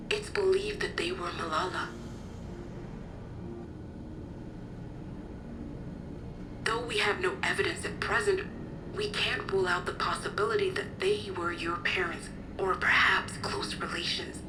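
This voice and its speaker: An actress voicing a composed, articulate resistance officer in a video game, explaining things formally and with measured care. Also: female voice, fairly low pitch, background noise, close to an everyday voice. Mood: grave, gentle. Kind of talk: video game cutscene acting.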